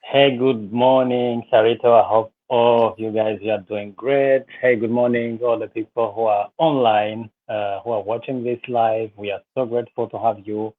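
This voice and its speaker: A man's voice: mid-range voice, steady tone, moderate pace, few pauses, no echo. Earnest guest in his thirties from Rwanda, man, dry thin phone-like sound, restarts a lot. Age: 30s. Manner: earnest, many fillers and restarts, speaks of faith. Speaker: guest, business coach. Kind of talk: live interview, personal story. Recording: tinny phone-like line, dry.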